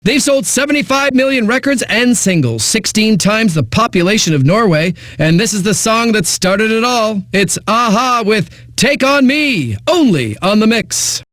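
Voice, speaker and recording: A smug, breezy eighties music DJ for a game radio station. His voice sits high and moves around a lot. The sound is very dry and close, and the patter is hyped with a sardonic edge. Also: very animated, moderate pace, few pauses, a bit bright, no echo. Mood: wry, breezy.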